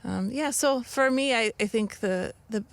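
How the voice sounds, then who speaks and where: A woman, an officer with a charity, answering interview questions earnestly, with halting restarts and fillers. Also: a little high. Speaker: Salvation Army officer.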